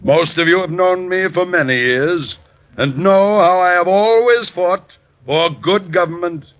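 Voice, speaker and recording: A man's voice: sits high, unhurried, a bit bright. An actor playing a stubborn, scheming newspaperman running for office in a 1950s radio drama. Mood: formal, strained. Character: stubborn, scheming male newspaper boss and candidate. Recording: dull, hissy old radio recording.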